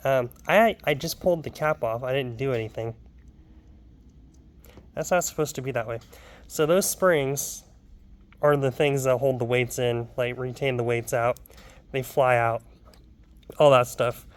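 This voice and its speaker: A man's voice: mid-range voice, unhurried, long pauses. A young man, a little sick and wheezy, talking casually through his car work in a garage vlog with lots of ums and side remarks. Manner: rambling, lots of ums and asides. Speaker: car hobbyist vlogger. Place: home garage.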